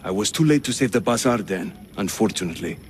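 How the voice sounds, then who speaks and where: A man's voice: on the low side, expressive, fast talker, a little hiss or hum, lightly acted. An actor playing a hearty, teasing Ottoman assassin leader in a video game, with a theatrical delivery. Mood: grave, indignant. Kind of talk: video game dramatic acting.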